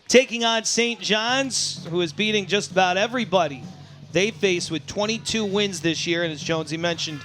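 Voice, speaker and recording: A man calling live baseball play-by-play, descriptive between plays and excitable on big ones. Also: sits high. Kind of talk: live sports play-by-play commentary. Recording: crowd and music behind commentary. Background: ballpark crowd, music.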